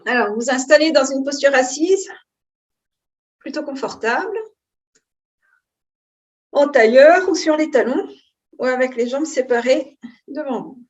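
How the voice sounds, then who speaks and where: A woman teaching yoga, giving calm, unhurried and soothing guidance on posture and breathing. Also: sits high, dull audio. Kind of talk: guided yoga and breathing instruction.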